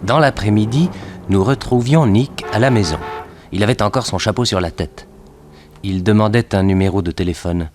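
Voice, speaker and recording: Actor as detective's sidekick narrator, low male voice, measured storytelling, composed, vintage radio serial. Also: noisy background, natural voice. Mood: composed narration. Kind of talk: scripted narration of 1960s French radio crime serial.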